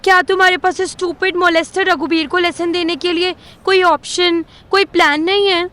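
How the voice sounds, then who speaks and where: A woman's voice: extremely high pitch, steady tone, normal speed, sharp tone. A woman playing a feisty, impulsive young woman in an audio drama, sassy and sarcastic, mixing Hindi and English. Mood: sarcastic, demanding.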